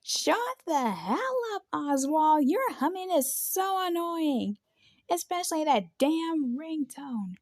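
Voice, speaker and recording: A woman voicing a rude, short-tempered cartoon character with a sharp, scolding manner. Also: high voice, sing-song, slow pace, no room sound, studio quality, exaggerated voice. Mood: irritated, scolding.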